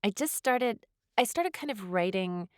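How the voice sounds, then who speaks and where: A woman's voice: medium pitch, animated, measured pace, no room sound, clean studio sound. A woman answering interview questions as a guest, thinking aloud in hesitant, thoughtful phrases. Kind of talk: reflective interview conversation.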